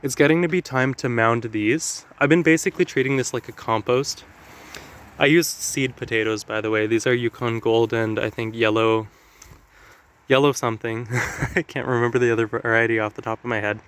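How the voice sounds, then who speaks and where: A man with a slightly raspy voice from allergies, talking casually and explaining things with lots of fillers as he walks through his garden. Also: medium pitch, fairly even intonation, normal speed, a bit bright. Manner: chatty, explanatory, with frequent fillers. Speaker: gardening vlogger. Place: outdoor garden.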